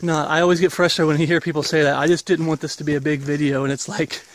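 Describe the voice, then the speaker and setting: A man's voice: fairly high pitch, little pitch movement, quick pace, no echo. A man in his forties, a ministry leader, gives a rambling, earnest walk-and-talk update while walking outdoors on a wooded path, filmed on a handheld camera at arm's length. He is getting over an illness. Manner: casual, rambling, earnest preaching tone.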